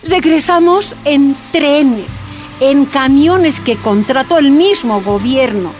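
A woman's voice: high voice, slow, a little mellow, soft background music. A woman playing an emotive, defiant mining activist, telling her story emphatically in a radio drama. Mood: bitter. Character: bitter, defiant Bolivian miners' woman activist. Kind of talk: first-person radio drama narration.

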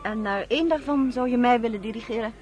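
An actress playing a wary outsider in a Dutch radio play, speaking in a questioning, hesitant manner. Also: female voice, a little high, fast talker, darkish tone, natural voice. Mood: doubtful. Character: wary, questioning woman, an outsider being guided.

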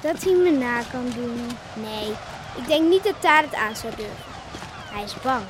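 Earnest girl, high voice, noisy recording. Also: lively intonation, measured pace. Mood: serious. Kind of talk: Dutch radio play acting. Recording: noisy old radio play recording.

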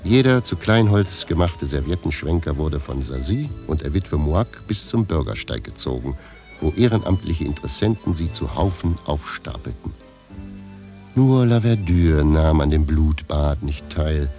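A man reading scripted narration for a radio play in a measured, literary manner. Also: a bass voice, animated, mellow. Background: faint music.

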